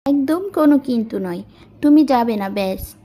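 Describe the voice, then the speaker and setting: A woman's voice: on the high side, expressive, normal speed, warm tone. A woman voicing a devoted, polite but firm young village working girl in a cartoon. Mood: insistent.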